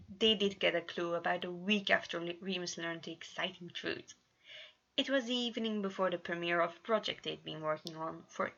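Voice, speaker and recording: A woman narrating a scripted story as an amateur reader, expressive, with small stumbles. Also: lowish voice, gravelly, dull audio. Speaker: amateur fan fiction reader.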